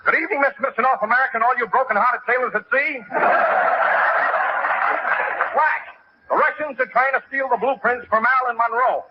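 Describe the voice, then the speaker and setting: An actor playing a snappy, urgent gossip newscaster in an old radio comedy. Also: male voice, very high-pitched, a little fast, pauses often. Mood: urgent, scolding. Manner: urgent, punchy announcing. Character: rapid-fire snappy gossip newscaster parody. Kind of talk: 1940s radio comedy, mock news bulletin. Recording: noisy, muffled old radio broadcast.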